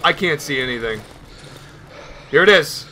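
A man's voice: on the high side, expressive, moderate pace, sharp tone, slight background noise, rough recording. A man giving casual let's play commentary, rambling nervously and talking to himself as he plays. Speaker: gaming video host.

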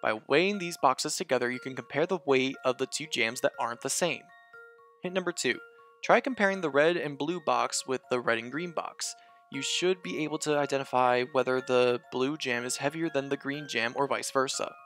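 A man hosting a puzzle game walkthrough, reading hints aloud and gushing excitedly over the characters. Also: medium pitch, moderate pace. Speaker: let's-play host. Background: game music.

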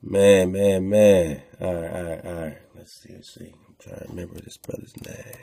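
A man's voice: low voice, flat delivery, moderate pace, creaky, warm tone. A man casually hosting an unscripted online show, rambling, repeating phrases and using slangy filler. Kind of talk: casual unscripted commentary while browsing on screen.

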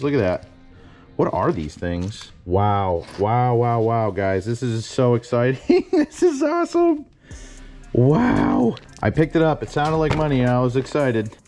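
A young man, a storage unit vlogger, chatting excitedly as he digs through boxes in an indoor storage unit on a head-mounted camera mic. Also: mid-range voice. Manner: excitable, keeps exclaiming wow and singing snatches. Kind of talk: excited casual narration while unboxing.

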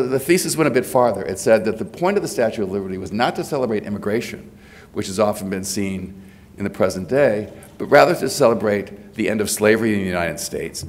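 A low-pitched man in his sixties, congested from a cold, delivers an academic address at a podium microphone. He speaks with frequent fillers and restarts. The sound carries the feel of a large hotel ballroom. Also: a little mellow. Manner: hesitant, many fillers and restarts. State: has a cold. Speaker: lecturer giving a presidential address. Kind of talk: academic lecture.

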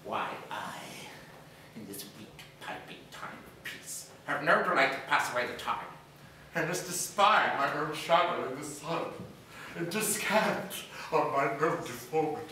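A man's voice: on the high side, sharp tone, a little hiss or hum, exaggerated voice. A middle-aged actor playing a bitter, scheming king with a Spanish accent, declaiming theatrically. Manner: declamatory, exaggerated, volatile. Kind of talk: theatrical stage monologue. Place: large indoor theater stage. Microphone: distant camera. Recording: echoey theater stage from far off.

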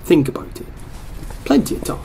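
A man's voice: brisk, mellow, a little hiss or hum, close to an everyday voice. An actor playing a hesitant, lonely man muttering and deliberating to himself in a radio play.

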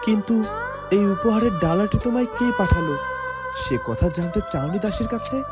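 A man playing a gentle, hesitant young prince in a fairytale cartoon, speaking politely. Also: high voice, animated, moderate pace, loud music behind the voice.

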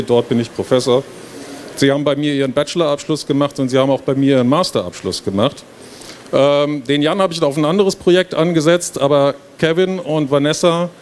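A man's voice: fairly high pitch, darkish tone. A middle-aged man giving an informal, explanatory lecture to an audience, as a professor presenting his work. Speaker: professor of game design, presenter. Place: stage at an indoor book fair. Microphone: handheld microphone. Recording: clear background noise of fair hall. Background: trade fair hall ambience.

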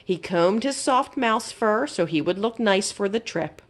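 A woman reading a children's story aloud as a library storyteller, in an expressive, simple storytelling manner. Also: medium pitch, unhurried.

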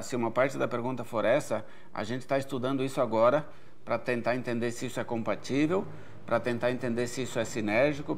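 A man's voice: medium pitch, slight background noise. A man in his forties from Brazil, answering viewer questions as an agronomy researcher in a careful, explanatory way with many hesitation fillers, recorded at an event stage. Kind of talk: expert Q&A answers.

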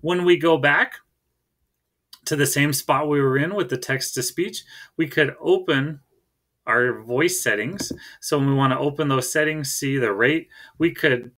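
A man's voice: on the high side, unhurried, a bit bright. A middle-aged American man giving a casual, conversational tech tutorial as a teacher, recorded on a laptop in a room at home. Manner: conversational, checks in with "right?".

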